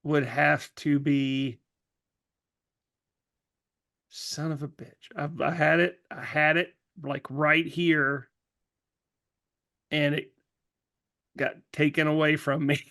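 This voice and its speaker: Male podcast co-host, slightly higher pitch, unhurried, halting, casual and joking. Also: little pitch movement, pushed and bright. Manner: halting, thinking aloud. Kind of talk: casual joking panel chat.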